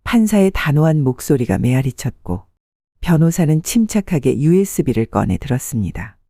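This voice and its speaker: Older woman, sixties, steady audiobook narrator, mellow tone with lively pitch, dry close sound. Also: normal speed, no room sound. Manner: calm, steady storytelling.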